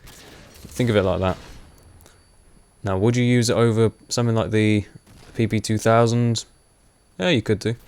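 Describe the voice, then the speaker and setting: A young man with a fairly low voice talks over his gameplay in a loose, rambling way. He pauses on fillers and laughs often, and his voice is wearing hoarse. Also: steady tone, darkish tone. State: voice going hoarse. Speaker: gaming commentator. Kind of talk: casual gameplay commentary.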